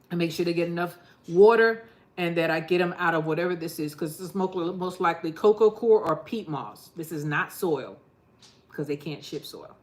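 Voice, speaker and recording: A middle-aged woman from New York chatting casually to her gardening channel, rambling and laughing often. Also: medium pitch. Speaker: gardening vlogger. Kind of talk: casual chat to camera.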